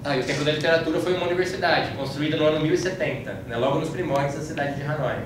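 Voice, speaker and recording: A man's voice: a little high, racing. Man, Brazilian Portuguese accent, informal travel lecture, conversational storytelling, very echoey room, noisy recording. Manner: conversational, anecdotal, frequent checking tags. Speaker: speaker giving a talk about his trip. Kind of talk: informal slide-show lecture, recounting travels. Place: indoor room, live talk to an audience. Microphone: far from the speaker. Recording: echoey room, distant mic, clear background noise.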